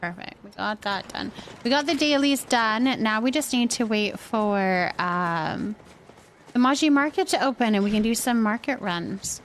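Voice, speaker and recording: Female live stream host, fairly high register, chatty and informal, voices thoughts as they come. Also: animated, normal speed, slight background noise. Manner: chatty, thinks aloud. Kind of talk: casual live game stream chat.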